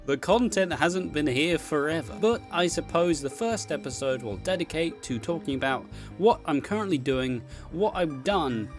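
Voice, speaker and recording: A man hosting a casual, rambling solo show, swearing freely and joking at his own expense with a giggly manner. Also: a little high. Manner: sweary, self-deprecating, giggly. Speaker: host, streamer and content creator. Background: background music.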